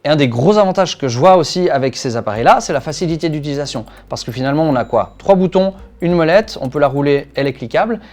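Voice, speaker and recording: A man's voice: a little high, lively intonation, fast, hardly any pauses, a little mellow. A man co-hosting a motorcycle channel, explaining a product in a casual, enthusiastic way. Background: background music.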